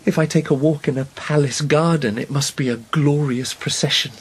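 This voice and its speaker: A man acting in a radio drama as a troubled, fevered young emperor, wistful and formal, speaking in a hushed, measured, weary way. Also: a little high, steady tone, a little hiss or hum, close to an everyday voice, poor audio.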